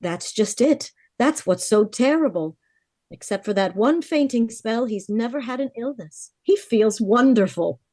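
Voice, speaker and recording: A woman's voice: on the high side, animated, a little slow, mellow, dry recording. A woman playing a worried, frank young heiress of twenty-four in a vintage-style radio drama, confiding her story earnestly. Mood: grave, worried.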